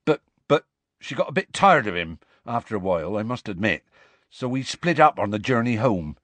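A huge half-giant man from a storybook, soft-hearted and anxious. He pleads earnestly in rustic dialect, his pitch lifting and swooping, recorded close and dry. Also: big pitch swings, normal speed, dry recording. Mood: sheepish.

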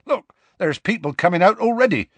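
A man voicing a big, gruff, stubborn gamekeeper with a blunt, colloquial manner in a storybook reading. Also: high voice, expressive, a little fast, fairly bright tone, dry recording, pitched above the performer's own voice, exaggerated voice. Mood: casual.

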